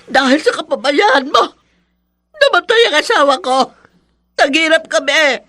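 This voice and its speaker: Shrill-tempered, swooping bitter widow. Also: female voice, animated, measured pace, pushed and bright, exaggerated voice. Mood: bitter, accusing. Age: middle-aged. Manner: scolding, accusatory. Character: bitter, unforgiving widowed mother-in-law, quick to blame. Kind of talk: radio drama acting.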